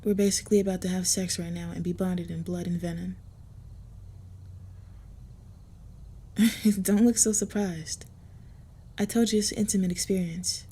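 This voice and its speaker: Woman acting female vampire, intimate roleplay audio, mellow and breathy, hushed, hesitant, gentle, possessive, protective. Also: fairly low pitch. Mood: serious, intimate. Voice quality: soft, husky, breathy. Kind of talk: intimate roleplay audio with first-person narration.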